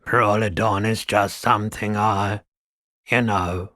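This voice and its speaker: A man playing a weary, gentle, eccentric middle-aged pawnshop keeper in a video game, with a laid-back, polite and musing manner. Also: lowish voice, steady tone, takes it slowly, no room sound, studio quality. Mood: laid-back, wistful. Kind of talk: video game character dialogue.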